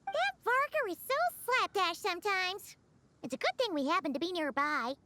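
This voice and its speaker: A woman voicing a small, cheeky, excitable fairy girl in an anime video game, squeaky, childlike and exclamatory. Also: moderate pace, no echo, full character voice. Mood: teasing, exasperated. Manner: refers to self in third person, exclamatory.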